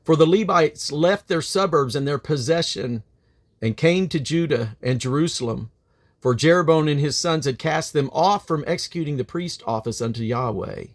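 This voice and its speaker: A man reading scripture aloud in an emphatic, solemn and deliberate way, as a Bible reader. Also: a little high, expressive.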